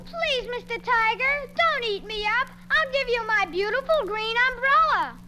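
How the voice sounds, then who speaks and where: A woman's voice: very high-pitched, animated, moderate pace. A woman voicing a small, timid, polite boy in a storybook record, pleading and childlike. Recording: old storybook record with music.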